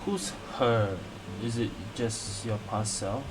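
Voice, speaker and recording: Unhurried man casually reacting to a game. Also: mid-range voice. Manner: echoes and muses on game dialogue. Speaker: gaming streamer. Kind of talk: casual live reaction commentary. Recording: noisy room, game audio behind.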